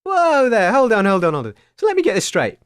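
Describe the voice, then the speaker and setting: Man, comedy radio host, mock-formal, lively intonation, dry sound. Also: sits high, brisk, clear, resonant tone, no echo. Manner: mock-formal presenter, bemused.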